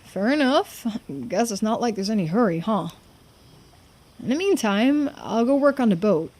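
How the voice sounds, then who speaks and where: A woman's voice: fairly high pitch, lively intonation, moderate pace, darkish tone. A woman voicing an easygoing, cocky young diver in a game, laid-back and slangy.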